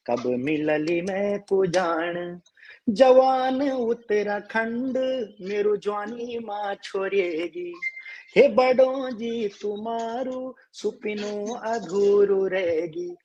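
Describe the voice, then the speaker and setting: This is a man with a high voice and a Garhwali accent. He sings a slow folk song, then speaks earnestly and quickly as a guest. The sound is dull and lo-fi, like a live stream over a call. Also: darkish tone, a little hiss or hum. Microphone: live video call.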